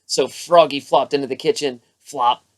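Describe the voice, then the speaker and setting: A narrator reading a children's bedtime story aloud with expressive, emphatic delivery. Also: medium pitch, normal speed.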